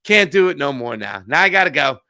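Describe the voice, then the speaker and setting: A man co-hosting a political podcast, animated and profane, mocking others in put-on voices. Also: fairly high pitch, fairly quick, no room sound, studio quality. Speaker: podcast co-host. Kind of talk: casual political talk show.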